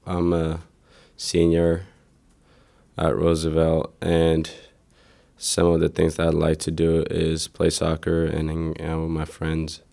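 A young man from Ethiopia, a native Amharic speaker, answering interview questions in a hesitant, plain way with many pauses. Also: a bass voice, completely flat delivery, creaky, dark tone. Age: teens to young adult.

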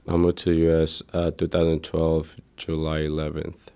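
A young man from Ethiopia, a native Amharic speaker, answering interview questions in a hesitant, plain way with many pauses. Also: a bass voice, monotone, dark tone, clear, resonant tone. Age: teens to young adult.

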